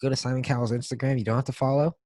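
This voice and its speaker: A man's voice: medium pitch, steady tone, very fast, dark tone, dry recording, studio quality. A man co-hosting a podcast in a casual, comedic chat, talking in profane slang. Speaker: podcast co-host.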